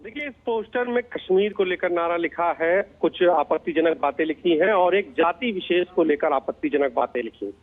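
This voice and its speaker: A male reporter delivers a live field report in a fairly high-pitched voice. The sound is thin and narrow, like a phone line into a news studio. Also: moderate pace, hardly any pauses.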